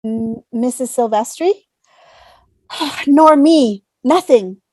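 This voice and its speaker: A woman's voice: on the high side, slow pace, a little mellow, no room sound. A woman playing a wary, hard-nosed traveller in an amateur table read. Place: remote video call.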